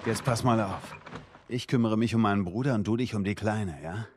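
A man's voice: lowish voice, brisk, a little hiss or hum, natural voice. An actor playing a young male survivor in a video game, a protective and confident older brother who speaks informally and assertively. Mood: defensive, firm. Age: young adult. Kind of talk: dramatic video game acting.

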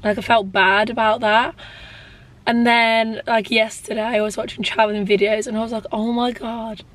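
A woman in her twenties with a Birmingham accent and a hoarse, lost voice, chatting candidly to camera in a vlog from inside a car. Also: fairly high pitch, level tone, moderate pace, fairly bright tone. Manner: rambling, candid, frequent "like". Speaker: vlogger. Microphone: handheld camera close up.

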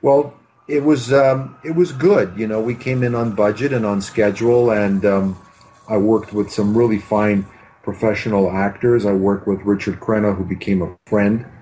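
A man's voice: fairly low pitch, steady tone, moderate pace, mellow, a little hiss or hum, rough recording. A man, a film director reminiscing in an interview in a hesitant, rambling way over a video call. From Canada. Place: home room with bookshelves. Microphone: computer mic on video call.

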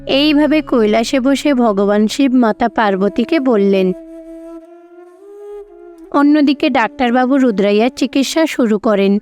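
A woman's voice: fairly high pitch, unhurried, a little mellow. A woman reading scripted storybook narration for a cartoon. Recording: faint music behind.

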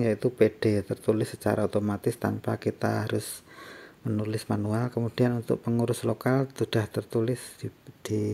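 Low, gravelly man explaining steps. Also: mellow. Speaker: tutorial presenter. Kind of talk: casual step-by-step software tutorial narration. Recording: faint background noise.

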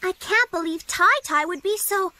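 A woman's voice: extremely high pitch, moderate pace, bright, forceful tone, a little hiss or hum, full character voice. A woman voicing a curious, innocent young kitten in a children's cartoon, wide-eyed, questioning and hesitant. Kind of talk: animated children's cartoon acting. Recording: music bed behind the voice.

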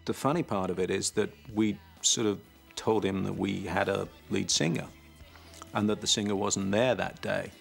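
Gravelly low-voiced man recalling the past. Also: steady tone, faint music behind. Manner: measured storytelling. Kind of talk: documentary interview. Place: dark studio set.

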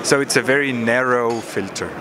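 A man in his thirties or forties giving a measured technical explanation in an interview, pausing to find words, on a handheld microphone. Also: mid-range voice. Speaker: product manager explaining a demo. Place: busy exhibition hall booth. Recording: noisy trade fair hall ambience.

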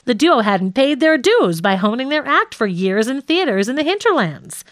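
A woman narrates a nonfiction audiobook in a fairly high voice with very animated intonation. Her reading is measured and articulate, and the sound is dry and close, with little room echo.

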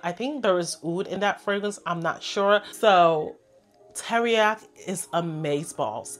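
Female vlogger, lower register, nasal and a bit hoarse from a cold, enthusiastic chatty reviewer, faint music bed. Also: fairly bright tone. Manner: chatty, enthusiastic, addresses viewers as "you guys". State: congested and a little hoarse from a cold. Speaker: fragrance reviewer. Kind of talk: casual product review to camera.

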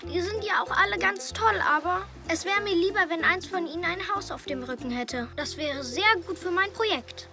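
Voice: extremely high pitch, moderate pace, creaky, few pauses. A performer playing an eager, thoughtful young schoolboy in a dubbed children's cartoon, earnest and given to thinking aloud. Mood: disappointed, pondering. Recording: music bed behind the voice.

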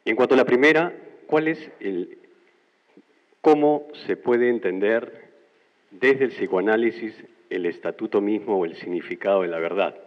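A man's voice: lowish voice, normal speed. A man from Peru with a Peruvian accent, standing in the audience and asking a long, articulate, academic question. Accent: Peruvian Spanish. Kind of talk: formal question from the floor. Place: university classroom. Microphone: handheld mic, standing. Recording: muffled, roomy lecture hall.

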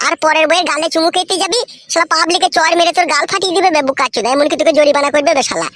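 Man doing a squeaky, fast-scolding cartoon buddy. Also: fairly bright tone. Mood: exasperated. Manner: foul-mouthed, hectoring. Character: scolding, exasperated young male friend. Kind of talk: crude comedy cartoon dialogue.

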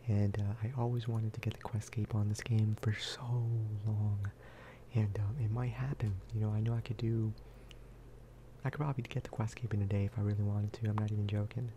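A man giving a relaxed, casual ASMR gameplay commentary in a soft, breathy whisper, close to the microphone. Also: fairly low pitch, a little fast, slight background noise. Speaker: video game streamer and host.

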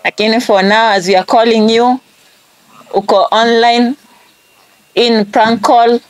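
A woman's voice: on the high side, steady tone, unhurried, no echo. A woman in her twenties with a Kenyan accent, hosting a phone call in a casual, chatty style. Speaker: prank call show host. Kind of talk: casual phone chat mixing Swahili and English.

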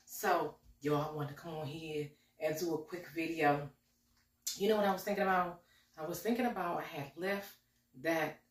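Chatty woman with a slight cold. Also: deep voice. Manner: chatty, folksy, talks to viewers as y'all. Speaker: cooking vlogger. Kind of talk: casual cooking vlog. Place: home kitchen, indoors. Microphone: camera a few steps away. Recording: roomy kitchen echo, mic at a distance.

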